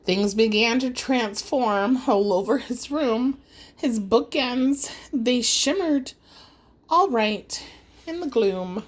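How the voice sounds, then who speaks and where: A woman narrates a rhyming story for children. Her voice is high and highly animated, taken at an unhurried pace. The delivery is dramatic and singsong, like an audiobook reader. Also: a little hiss or hum.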